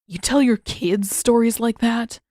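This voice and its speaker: A woman voicing a grumpy, sardonic teenage prince who grumbles and mumbles in a blunt deadpan. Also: a little high, unhurried, no echo, clean studio sound. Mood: blunt, surprised.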